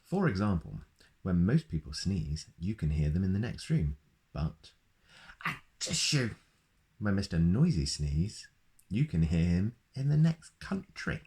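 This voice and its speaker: A man's voice: low-pitched, big pitch swings, a little slow, mellow. A man reading a children's picture book aloud in a playful way, adding sound effects. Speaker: storyteller.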